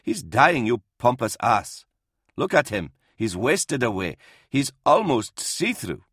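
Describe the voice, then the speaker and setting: A man's voice: mid-range voice, big pitch swings, slow pace, a bit bright, slightly rough, no room sound, clean studio sound, pitched above the performer's own voice, heavily acted. A man voicing a blunt, irascible but compassionate old army priest in an audiobook, snappy and outspoken. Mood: snapping, pleading. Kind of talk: audiobook character voice in military science fiction.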